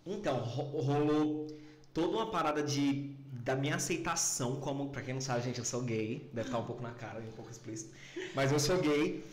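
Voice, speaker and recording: A man's voice: mid-range voice, brisk. A man in his late twenties from São Paulo, chatting playfully as a podcast guest at a desk microphone in a small studio. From São Paulo, Brazil. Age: late 20s. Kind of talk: casual chat. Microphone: boom desk mic. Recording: roomy studio sound.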